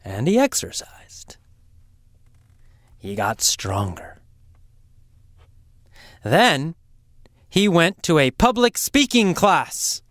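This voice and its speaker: A middle-aged man who teaches English, telling a simple story to learners slowly and with lots of repetition. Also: on the high side, no echo, studio quality. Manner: slow, animated, with heavy repetition for learners.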